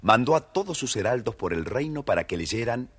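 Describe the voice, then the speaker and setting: A man narrating a children's fairytale theatrically in a husky voice. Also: big pitch swings, a little fast, heavy background noise. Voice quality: husky, deep. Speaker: storyteller, narrator.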